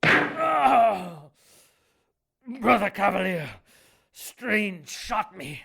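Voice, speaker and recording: Wildly swooping, raspy monk villain. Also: male voice, sits high, very animated, bright, forceful tone, full character voice. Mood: outraged, vengeful. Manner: drawn-out, theatrical. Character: gloating sinister monk villain, theatrical and cruel. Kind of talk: audio drama acting.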